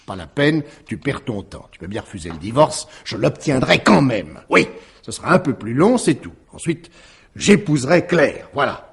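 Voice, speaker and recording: Raspy, sardonic unfaithful husband. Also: male voice, mid-range voice, sing-song, normal speed, heavily acted. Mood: dismissive, mocking. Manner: mocking, brusque, swinging delivery. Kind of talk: French radio mystery drama acting.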